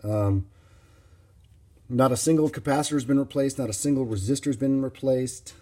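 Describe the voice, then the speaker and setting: A man giving an informal, unscripted hands-on demonstration as a hobbyist, explaining things in a plain-spoken, halting way. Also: medium pitch, darkish tone. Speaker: vintage radio hobbyist presenting a demonstration.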